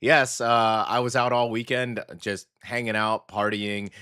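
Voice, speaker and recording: Hoarse, gravelly radio host man. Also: mid-range voice, flat delivery, measured pace, pushed and bright. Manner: upbeat and chatty, with many fillers. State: hoarse, scratchy after a weekend out. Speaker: radio morning show host. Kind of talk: casual radio chat.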